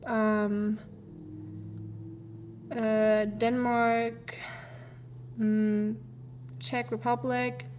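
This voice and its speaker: A woman's voice: a little high, darkish tone, dull audio, a little hiss or hum. A woman from Germany with a German accent, hosting a casual livestream and chatting through viewer comments in a rambling, easygoing way. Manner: rambling, chatty, laughs easily. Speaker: livestream host.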